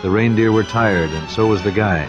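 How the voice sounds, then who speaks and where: A man narrating a rhyming storybook tale in a measured, theatrical scripted style. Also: on the low side, dull audio, music in the background.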